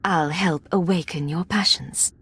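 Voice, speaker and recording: An actress playing a composed, resolute imperial agent in a video game, husky and measured in delivery. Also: female voice, lowish voice, fairly bright tone, exaggerated voice. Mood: gentle, resolute. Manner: measured, formal, controlled.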